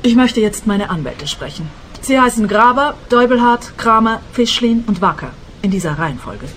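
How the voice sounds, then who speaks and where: An actress playing a vain, haughty wealthy wife, snobbish and dismissive, in a detective radio play. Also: female voice, a little high, expressive, normal speed, a little hiss or hum, natural voice. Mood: indignant.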